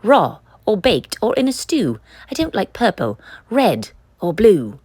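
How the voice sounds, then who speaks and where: Fussy little girl, played by a woman, picture-book tale, defiant complainer, husky, very animated. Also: medium pitch, unhurried, no echo. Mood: disgusted, defiant. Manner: emphatic, complaining, rhyming protests. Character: fussy, stubborn little girl refusing food. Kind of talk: children's picture book read-aloud.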